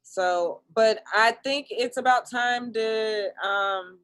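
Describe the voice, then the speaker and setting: A woman from Texas co-hosts a comedy podcast over a remote call. Her voice is bright and sharp, and her manner is playful and sassy. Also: on the high side, slow pace, clean tone. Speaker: podcast co-host. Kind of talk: casual comic banter.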